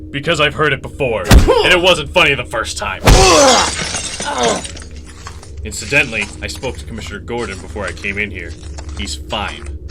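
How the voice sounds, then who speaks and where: An actor playing a grim, earnest vigilante superhero in a radio drama, speaking sternly and deliberately with intensity. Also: male voice, mid-range voice, animated, over loud music, natural voice. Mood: stern defiance.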